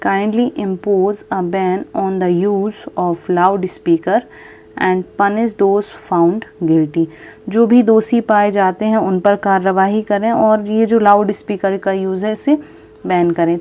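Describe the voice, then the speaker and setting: A woman with an Indian accent teaching a lesson, reading aloud and explaining in a deliberate way, repeating key points. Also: mid-range voice, dark tone.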